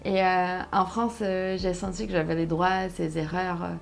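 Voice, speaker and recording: Young woman, Brazilian accent, native Portuguese, low pitch, fairly flat intonation, halting conversational storytelling. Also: vocal fry, faint music behind. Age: young adult. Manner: halting, searching for words. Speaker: interview guest, solo sailor.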